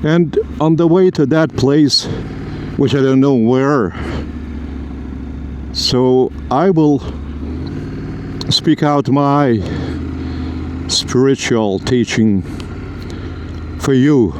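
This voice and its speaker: A Lithuanian man talks through a spiritual teaching outdoors, his speech slow and halting, split into short phrases. Steady traffic noise from the city street sits behind his voice. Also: mid-range voice. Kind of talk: spoken spiritual teaching on a walk. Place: outdoors on an urban street, walking. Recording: noisy street recording. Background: traffic and roadworks.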